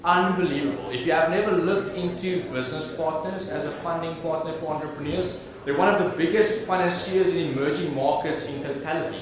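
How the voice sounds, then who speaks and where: South African emcee in an echoey hall. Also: male voice, on the high side, brisk, few pauses. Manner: slangy, enthusiastic, casual swearing. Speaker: awards host. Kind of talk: lively stage emceeing. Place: large event hall with audience. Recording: very echoey hall with crowd noise.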